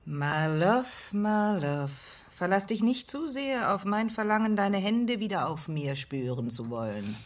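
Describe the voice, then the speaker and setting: A woman's voice: medium pitch, very animated, unhurried, few pauses. Brash, flirtatious middle-aged woman. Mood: blunt, teasing. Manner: teasing, sardonic, blunt. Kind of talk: radio drama acting.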